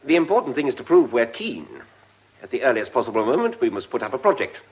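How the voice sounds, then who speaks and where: Keen British civil servant, very animated. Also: male voice, medium pitch, fast talker, husky, low-quality recording. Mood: keen, plotting. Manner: polite, eager, precise. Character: deferential, keen, scheming male senior civil servant. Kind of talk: mid-century British radio drama acting.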